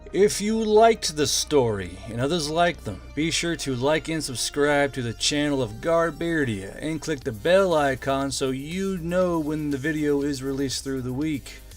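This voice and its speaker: A man's voice: fairly high pitch, lively intonation, a little slow, faint music behind. A man reading scripted military fantasy narration in a dramatic storytelling style. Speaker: storyteller reading own channel's audiobook.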